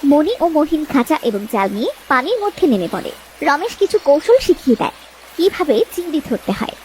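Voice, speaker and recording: High-voiced woman narrating a story. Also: big pitch swings, a little fast, a little mellow. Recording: noisy track with ambience behind.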